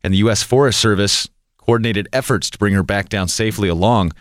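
A man's voice: on the low side, fast, no echo, studio quality. A man with an American accent reading scripted radio news as an anchor and reporter.

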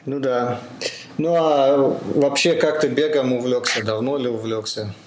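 A man's voice: mid-range voice, steady tone, measured pace, a crowd in the background. A man hosting a casual interview, speaking informally and prompting his guest with short questions. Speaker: podcast host, interviewer. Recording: roomy echo, faint background noise.

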